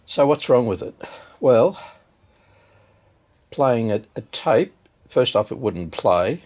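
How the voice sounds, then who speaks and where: A man giving a casual, unscripted hands-on demonstration as a hobbyist video host, hesitant and halting as he thinks aloud. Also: mid-range voice, expressive, darkish tone.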